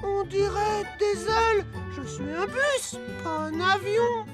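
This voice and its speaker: An earnest young school bus from a children's cartoon, speaking in a very high voice. It sounds polite and worried, over loud music. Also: female voice, slow. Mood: grateful, surprised.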